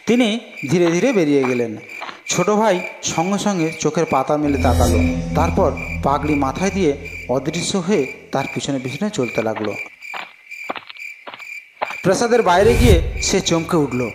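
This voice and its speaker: A man's voice: fairly high pitch, lively intonation, moderate pace, long pauses, background noise. A man narrating a scripted fairy tale as a storyteller. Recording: long reverb, music behind. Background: clear music.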